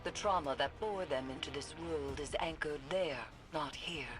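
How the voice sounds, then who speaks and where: An actress playing a serene, compassionate mystic mentor in a video game, speaking calmly with formal, measured phrasing. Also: female voice, low-pitched, natural voice. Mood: grave, explaining. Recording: music behind the voice.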